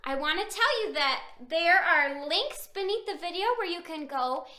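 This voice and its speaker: A woman's voice: extremely high pitch, expressive, normal speed, bright, forceful tone. A woman reading a picture book aloud to young children, with exaggerated wonder, sing-song stretched words and questions put to her listeners. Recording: roomy echo.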